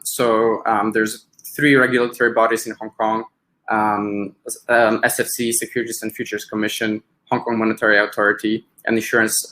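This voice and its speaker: A man from Poland with a Polish accent gives a webinar presentation as a business advisor, in a halting way with frequent filled pauses. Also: fairly low pitch, fairly bright tone.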